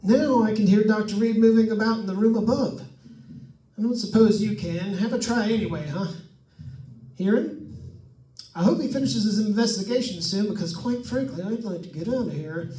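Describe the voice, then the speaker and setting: A man's voice: high-pitched, normal speed, dark tone, lightly acted. An actor playing a nervous, wisecracking man alone in a haunted house, chatting to listeners in an old-time radio suspense style. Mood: jumpy. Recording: echoey room, distant mic.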